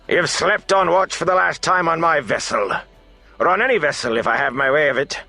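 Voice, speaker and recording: A man voicing a gruff, commanding riverboat captain in an audiobook, with blustering, forceful delivery and rustic sailor grammar. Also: fairly high pitch, expressive, a little fast, dry recording, faint music behind, pitched above the performer's own voice, heavily acted. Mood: furious.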